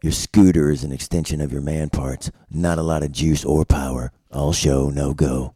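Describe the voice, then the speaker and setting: Man acting a deep-voiced noir drifter, dark tone, deadpan, cryptic, world-weary, web series table read. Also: moderate pace, slightly rough, no room sound, clean studio sound. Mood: cutting, deadpan. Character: drifting, world-weary office problem-solver, cryptic and atoning.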